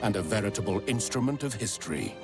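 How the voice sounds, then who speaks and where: A stern army major over game music. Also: male voice, lowish voice, animated. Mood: solemn. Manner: measured, formal. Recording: game audio with music behind.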